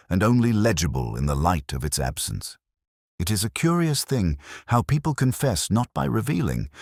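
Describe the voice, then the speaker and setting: Very deep male voice, actor as weary middle-aged detective, melancholic, measured literary narration, audio drama, close dry sound. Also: dry recording, heavily acted. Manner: measured, literary, reflective delivery. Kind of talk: scripted first-person detective narration.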